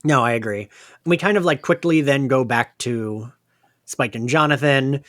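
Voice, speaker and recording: A man hosting a podcast, casually recapping a story in a chatty way full of fillers and quick to laugh. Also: mid-range voice, fairly bright tone, no echo, clean studio sound. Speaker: podcast host. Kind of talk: casual recap chat about an audio drama.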